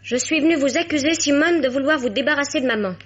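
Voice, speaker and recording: An actress playing an anguished, headstrong twenty-year-old daughter in a melodramatic, breathless, stammering 1950s radio mystery style. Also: female voice, high voice, quick pace, a bit bright. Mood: accusing. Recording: dull vintage radio drama recording.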